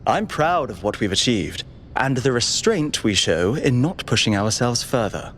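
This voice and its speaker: An actor playing a cautious, overworked android chief aide in a video game, with a measured, dutiful, disapproving manner. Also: male voice, medium pitch, sing-song, a bit bright, slight background noise. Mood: weary, disapproving.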